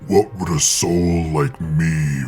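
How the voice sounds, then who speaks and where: A man voicing a huge, menacing, ancient man-eating monster in an animated fantasy parody, speaking in grandiose archaic phrases. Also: rumbling low, faint music behind. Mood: menacing, hungry. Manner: slow, archaic, grandiose speech.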